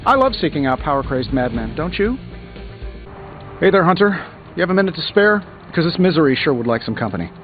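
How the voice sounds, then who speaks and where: Cocky wisecracking genius superhero, game dialogue, slightly high voice, muffled with music behind. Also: male voice, big pitch swings, fairly quick. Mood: smug, sarcastic. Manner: sarcastic, glib, wry. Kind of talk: video game dialogue, quippy banter.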